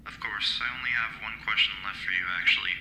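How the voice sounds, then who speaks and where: A man playing a formal, unruffled facility interviewer in an audio drama, measured and coolly insistent. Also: on the low side, fairly even intonation, bright, forceful tone. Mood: cool, polite. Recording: roomy, faintly noisy recorded-interview sound.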